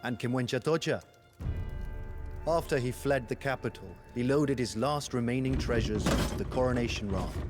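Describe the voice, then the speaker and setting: A man's voice: medium pitch, normal speed, close to an everyday voice. Man acting solemn, intense war commander over music. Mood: solemn, reflective. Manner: solemn, theatrical storytelling, intense. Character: passionate Muisca war commander, grave and devoted lover. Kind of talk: dramatic scripted first-person game cutscene narration.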